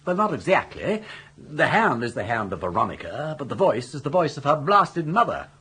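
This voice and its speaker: Husky, jaunty old English gentleman schemer. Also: a little high, big pitch swings, moderate pace, heavily acted. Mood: persuasive, emphatic. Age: older. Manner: garrulous, grandly worded, theatrical. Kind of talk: radio comedy drama acting.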